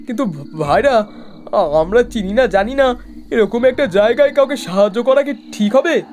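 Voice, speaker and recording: Man acting an excitable, high-pitched young traveller. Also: normal speed, slight background noise. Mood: fearful. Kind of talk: horror cartoon voice acting.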